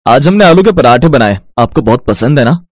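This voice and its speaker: A man voicing a respectful, earnest and excitable young village farmer in a storybook tale. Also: mid-range voice, a little fast, dry recording, clean studio sound, lightly acted. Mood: warm.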